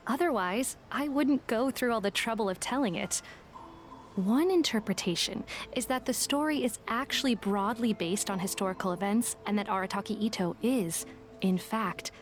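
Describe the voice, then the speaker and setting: Woman voicing a calm, shrewd businesslike woman, measured, dry, matter-of-fact, mid-range voice. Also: mid-range voice, natural voice. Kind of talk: video game voice acting. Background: game music.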